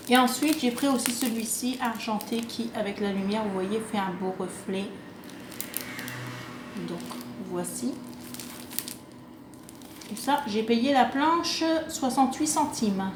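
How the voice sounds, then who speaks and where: A woman chatting casually and unscripted to camera, hesitant and rambling as she presents a craft shopping haul, recorded on a phone on a table. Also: a little high. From France, half Italian. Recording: roomy echo, clearly noisy home recording.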